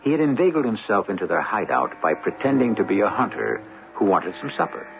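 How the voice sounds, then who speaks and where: A man's voice: on the low side, very animated, fairly quick, gravelly. An American man hosting and narrating a radio drama in a dramatic scripted style. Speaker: host and narrator. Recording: thin phone-like old radio broadcast. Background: loud music.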